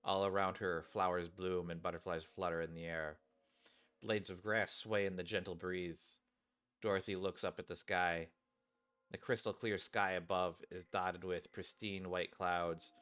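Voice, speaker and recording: A man's voice: low-pitched, flat delivery, unhurried, a bit bright, smooth. A man who streams games, speaking in an informal, casual commentary style. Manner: informal, rambling, addresses viewers. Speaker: gaming streamer. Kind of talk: casual game commentary and reading aloud of story text. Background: game music.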